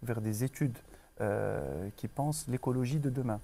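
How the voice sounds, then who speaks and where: Un homme d'âge moyen, originaire du Maroc, directeur d'école en entretien, parle de façon posée avec beaucoup d'hésitations. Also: male voice, medium pitch, vocal fry, mellow, a little hiss or hum. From Morocco. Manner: measured, many pauses and restarts. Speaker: school director. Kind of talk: interview.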